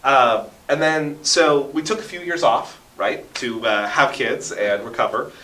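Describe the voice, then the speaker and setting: A man's voice: mid-range voice, unhurried, pushed and bright. A talkative man in his thirties telling stories with enthusiasm and dramatic emphasis as a radio interview guest. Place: basement radio station studio. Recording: roomy echo, distant from the mic.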